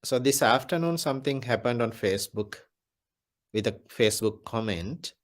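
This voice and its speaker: A Buddhist monk giving a Dhamma talk, speaking deliberately and repeating his key phrases. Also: mid-range voice, expressive.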